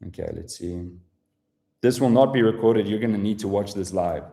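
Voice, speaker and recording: A man's voice: lowish voice, normal speed, gravelly, mellow. A man with a South African accent presents a live sales webinar in a casual, profane, filler-heavy style.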